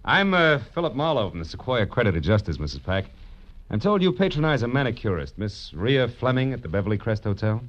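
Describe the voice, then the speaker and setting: A man's voice: medium pitch, very animated, a little fast, lightly acted. A man playing a tough, wisecracking American private detective in 1940s radio drama style, with clipped, slangy delivery. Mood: smooth, probing. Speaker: lead actor and first-person narrator. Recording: dull vintage radio recording with music.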